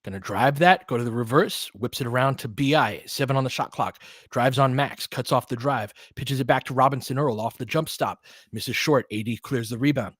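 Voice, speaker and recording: Male play-by-play host for basketball. Conversational, thinks out loud, breaks down the action, recorded dry. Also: mid-range voice, normal speed, no room sound. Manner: conversational, thinking aloud, analytical. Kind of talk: live basketball commentary with casual asides.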